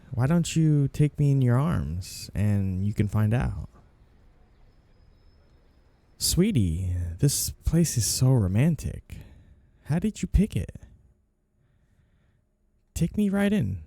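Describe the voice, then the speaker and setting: A man's voice: medium pitch, mellow, no room sound, exaggerated voice. A man playing a flirty, two-faced mafia boss in an ASMR period roleplay, with coy, teasing lines and sugary endearments. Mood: gushing, lovey-dovey.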